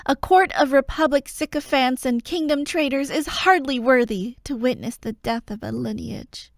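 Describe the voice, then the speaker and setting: A woman's voice: high-pitched, moderate pace, creaky, no room sound, clean studio sound. A woman playing a proud, defiant royal heir facing execution, speaking in formal, solemn oratory for a fantasy audio roleplay. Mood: solemn defiance.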